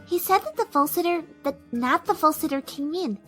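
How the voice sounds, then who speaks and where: A woman voicing a timid, soft-spoken, kind young female pegasus pony in a cartoon audio drama, hesitant and apologetic. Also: sits high, lively intonation, moderate pace, soft background music. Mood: worried. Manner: hesitant, apologetic, gently stammering.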